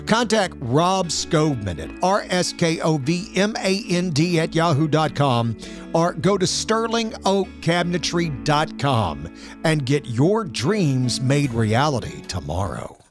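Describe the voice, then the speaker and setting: American male ad reader, scripted sponsor message, fairly high pitch, over a guitar music bed. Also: big pitch swings, measured pace. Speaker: sponsor announcer. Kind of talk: scripted advertisement read.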